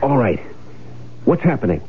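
A man's voice: mid-range voice, big pitch swings, moderate pace, lightly acted. An actor playing an edgy, bossy man scheming to fake his death, in 1970s radio drama style with urgent delivery. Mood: tense, curt. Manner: urgent, commanding. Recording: narrow tinny 1970s radio recording, faint noise.

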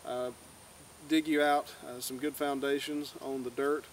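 A man's voice: medium pitch, little pitch movement, measured pace. A young man giving an informal, plain-spoken, folksy instructional talk to camera outdoors. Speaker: beekeeper giving a tutorial. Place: outdoors in a grassy clearing by woods. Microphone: far camera. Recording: distant camera mic, outdoor background noise.

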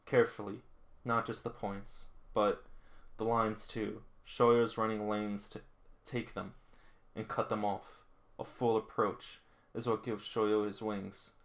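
A man's voice: on the low side, flat delivery, slow. A man reading a manga aloud with casual commentary, sometimes tripping over words and going back over them. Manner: stumbles and rereads lines, chuckles at the story. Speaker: manga read-along host. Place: small indoor room with figurines.

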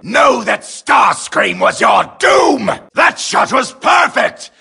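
A vain, treacherous robot villain from a video game. The voice is piercingly sharp and very raspy, with a screechy edge. The delivery is theatrical and sneering, swinging wildly in pitch. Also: male voice, big pitch swings, slow pace, exaggerated voice. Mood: boastful, scornful.

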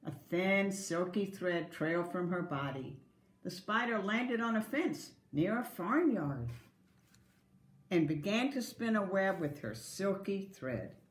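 An older woman reading a picture book aloud to young children in an animated storytelling manner. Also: mid-range voice, slow. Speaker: volunteer story reader. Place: dining room at home, indoors. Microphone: camera across the table. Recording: roomy home camera sound.

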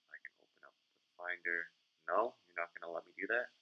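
A man's voice: deep voice, fast talker, muffled recording. A young man casually talking through a coding tutorial at his desk, explaining each step as he types. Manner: talks through steps while typing, relaxed and informal. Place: indoors at a desk, small room.

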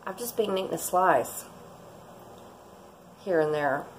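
A woman's voice: on the low side, slow pace, slight background noise, rough recording. Chatty woman in her forties. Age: 40s. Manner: chatty, rambling, laughs often. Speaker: vlogger. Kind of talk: casual cooking vlog chat. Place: home kitchen, indoors. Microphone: fixed camera at close range.

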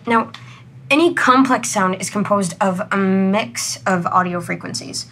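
A woman's voice: medium pitch, a little slow, fairly bright tone. An actress playing a confident, exacting female audio analyst in a naturalistic, explanatory and assertive way. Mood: explaining. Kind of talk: naturalistic audio drama acting.

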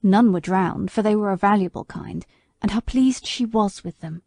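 A woman voicing a bitter, indignant old male horse in an audiobook, speaking slowly in a low, grave way. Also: creaky, mellow, dry recording, clean studio sound. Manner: slow, low, grave delivery. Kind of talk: audiobook character voice.

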